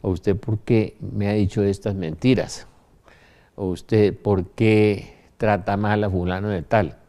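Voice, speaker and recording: Older male religious speaker, Colombian accent, low and dark-toned, emphatic storyteller who talks informally to listeners. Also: steady tone, takes it slowly. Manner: anecdotal, emphatic, rhetorical questions. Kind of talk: informal religious talk to an audience.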